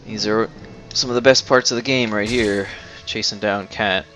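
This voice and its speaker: A young man giving casual gameplay commentary in a low-key, halting, unhurried way. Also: fairly even intonation, fairly bright tone, a little hiss or hum. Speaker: gamer, video host. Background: faint game music.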